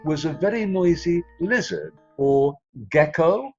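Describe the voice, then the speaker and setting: A man's voice: fairly high pitch, expressive, measured pace, darkish tone, breathy edge, dull audio, faint music behind. A man, a storyteller introducing and narrating a folk tale. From Singapore.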